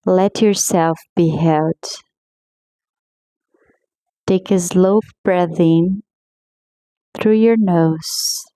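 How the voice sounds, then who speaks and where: Slow, soothing woman meditation guide. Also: on the low side, fairly even intonation, dry recording, rough recording. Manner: slow, soothing, gently paced.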